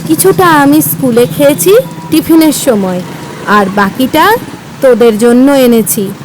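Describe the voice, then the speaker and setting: A woman's voice: high voice, expressive, measured pace, slight background noise, low-quality recording. A woman playing a kind-hearted, earnest schoolgirl in an audio story. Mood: gentle, kindly.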